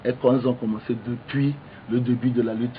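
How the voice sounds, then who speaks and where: A man, an opposition politician debating on the radio, halting with many restarts but insistent. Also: medium pitch, little pitch movement, narrow, tinny audio, slight background noise. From Togo.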